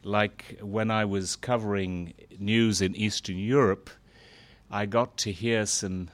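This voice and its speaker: A middle-aged man and former foreign correspondent speaking to a gathering, halting with fillers at first, then growing more animated. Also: lowish voice, no echo. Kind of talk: speech to an audience.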